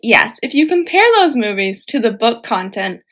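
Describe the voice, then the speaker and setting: High-voiced woman podcast co-host. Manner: animated, laughs often, quick swings in pitch when quoting others. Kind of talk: casual chat.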